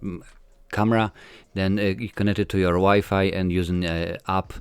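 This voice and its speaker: Polish guy in his 40s, deep smooth voice, lots of uhs, dry close sound. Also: level tone, normal speed, no room sound. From Poland. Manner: hesitant, many fillers and restarts. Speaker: filmmaker guest. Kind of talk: casual interview answers.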